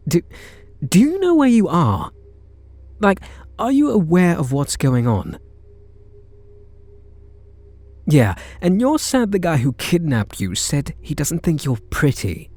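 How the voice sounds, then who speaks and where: Slow-talking British kidnapper, acted by a man. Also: mid-range voice, darkish tone, no room sound. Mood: exasperated, incredulous. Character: reluctant male kidnapper, gruff but secretly softening. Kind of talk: ASMR roleplay acting, intimate one-sided dialogue.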